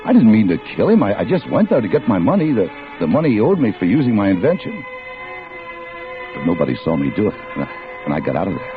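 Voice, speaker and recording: Casting: low-voiced, desperate working-class hothead for a 1940s radio thriller; muffled vintage sound, dramatic music behind. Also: male voice, wildly swooping pitch, quick pace. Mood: haunted, confessional. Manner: slangy tough-guy talk. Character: desperate working-class man, tough, hot-tempered, guilt-ridden.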